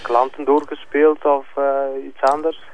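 Man playing a blunt detective, Flemish accent, medium pitch, halting insinuating questions, crime drama interrogation. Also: level tone, darkish tone, a little hiss or hum, lightly acted, poor audio.

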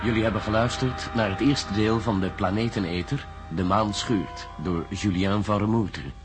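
A man reading scripted radio credits as announcer, formal and measured. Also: fairly low pitch, steady tone, over loud music.

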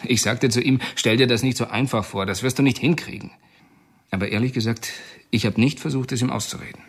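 A man's voice: mid-range voice, a little fast, close to an everyday voice. An actor playing a male prison informant in a radio drama, speaking in a confessional, uneasy manner.

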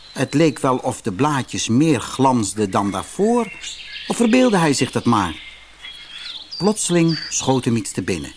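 A man narrating a scripted fairy tale as an expressive audiobook storyteller. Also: mid-range voice, a little hiss or hum. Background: music.

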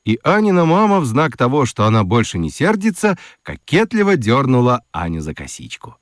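A man narrating a children's radio play in an expressive, deliberate, wry storytelling style. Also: medium pitch, dry recording. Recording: dry studio with music underneath. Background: music.

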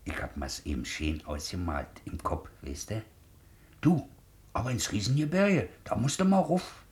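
An actor playing a kindly, weary middle-aged ex-convict shoemaker, gentle and colloquial, in a 1950s radio play. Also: male voice, fairly low pitch, wildly swooping pitch, normal speed. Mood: gentle, reassuring. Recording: 1950s radio drama recording.

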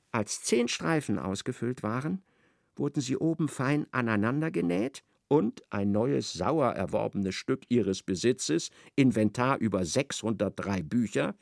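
An actor playing the precise, articulate narrator of a literary radio drama. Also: male voice, mid-range voice, creaky, darkish tone, no echo, clean studio sound. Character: precise, observant third-person male narrator of a novel.

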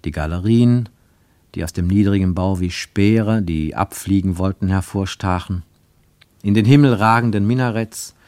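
A man reading a literary story as a scripted radio narration, with expressive, dramatic phrasing. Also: sits low, normal speed, no echo.

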